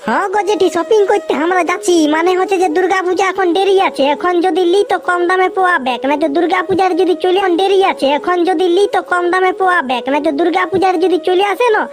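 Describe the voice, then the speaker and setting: An actor as a squeaky, fast-talking cocky village lad. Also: soft background music. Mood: explaining. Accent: Purulia Bengali. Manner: boastful, exaggerating. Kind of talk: comic cartoon dialogue.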